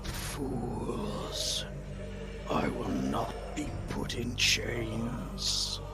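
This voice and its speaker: A British man voicing a proud, bitter fallen male villain with theatrical, menacing delivery and drawn-out whispers. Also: medium pitch, expressive, loud music behind the voice, pitched below the performer's own voice. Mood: scornful.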